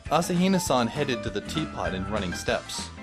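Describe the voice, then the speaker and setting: A man's voice: mid-range voice, big pitch swings, normal speed, music in the background. A man narrating a story in the first person with a dry, sardonic manner.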